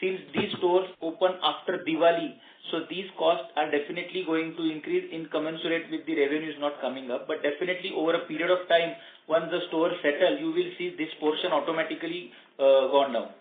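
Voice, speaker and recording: A man's voice: a little high, fairly even intonation, a little fast, few pauses. Man on an echoey phone line. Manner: hesitant, many fillers and restarts. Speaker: company finance executive on earnings call. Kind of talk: conference call answers.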